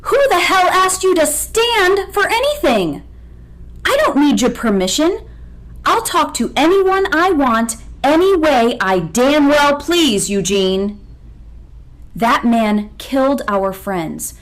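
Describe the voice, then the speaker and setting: A woman's voice: high-pitched, big pitch swings, slow pace, heavily acted. A woman playing a fiery, defiant survivor in an audio drama, speaking with biting, confrontational sarcasm. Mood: furious. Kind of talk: full-cast fan audio drama acting.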